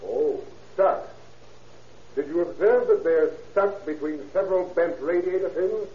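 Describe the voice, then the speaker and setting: A man's voice: high voice, unhurried. A man playing an aggressive, sardonic prosecutor cross-examining pointedly in an old-time radio courtroom drama. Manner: pointed, sarcastic. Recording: distant, echoey, tinny radio recording with hiss.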